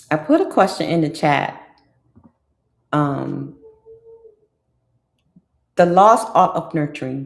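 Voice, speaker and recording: Congested lady host, deep gravelly voice, slow drawly livestream chat. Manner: drawling, chatty, teasing asides to the chat. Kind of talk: casual livestream talk.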